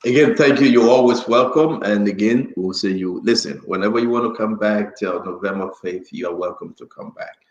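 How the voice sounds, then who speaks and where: A man's voice: lowish voice, a little fast, few pauses, muffled recording. A man hosting a casual live talk show, often repeating phrases for emphasis. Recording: roomy, echoing sound.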